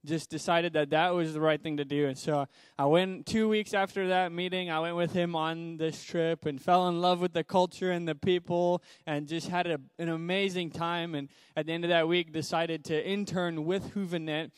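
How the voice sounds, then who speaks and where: A young man from Zimbabwe, a missionary guest speaker, giving an upbeat personal testimony in a church in a brisk, preacherly way. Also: on the high side, steady tone, clear, resonant tone, no room sound.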